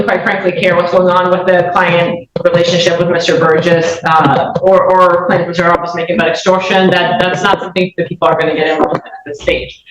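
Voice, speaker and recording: A woman speaks as a prosecutor arguing in court. Her voice comes from well off the microphone in a heavily echoing room, with some noise around it. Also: on the low side. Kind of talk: courtroom argument. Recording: echoey, distant, noisy courtroom pickup.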